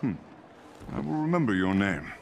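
An actor playing a hearty, grandiose thunder god in a video game, speaking in a stately, formal way. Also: male voice, lowish voice, big pitch swings, normal speed, creaky, a little hiss or hum. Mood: jovial. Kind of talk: cinematic video game acting.

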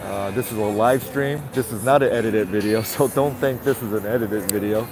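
Stuffy-nosed male vlogger, chatty and relaxed, speaking into a handheld phone on a busy night street with faint music. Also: on the low side, normal speed, rough recording. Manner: chatty, repeats greetings, talks to viewers. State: stuffy nose. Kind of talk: casual live-stream walking commentary. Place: city sidewalk outdoors at night. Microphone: handheld phone camera.

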